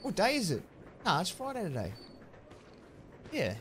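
A man in his thirties with an Australian accent, chatting casually with viewers while streaming a game on a headset mic, relaxed and chatty with sudden loud outbursts. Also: high voice, normal speed, background noise. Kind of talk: casual live-stream chat while gaming.

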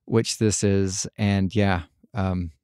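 A man hosting a podcast, talking casually by himself in a halting, rambling way. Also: deep voice, steady tone, darkish tone, clean tone, no room sound, clean studio sound. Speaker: podcast host. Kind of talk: casual solo podcast commentary.

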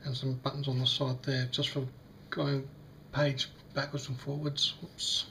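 A man with a slightly croaky voice, demonstrating a device in a casual, hesitant way with many fillers. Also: medium pitch, little pitch movement, unhurried, pushed and bright, a little hiss or hum. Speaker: tech unboxing vlogger. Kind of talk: casual product demo. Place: small indoor room at a table.

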